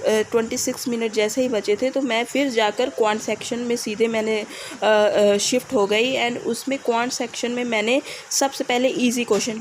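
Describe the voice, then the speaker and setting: A young woman from India with a hoarse voice and a fever, giving casual, hesitant exam advice with many pauses. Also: a little high, a little hiss or hum. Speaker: exam candidate giving tips.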